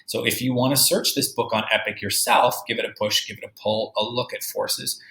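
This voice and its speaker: Bright-voiced male teacher in a roomy space. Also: lowish voice, fairly even intonation, brisk, gravelly. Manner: instructive, emphatic, checking understanding with tag questions. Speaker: third-grade teacher. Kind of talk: read-aloud lesson for children, explaining and reading.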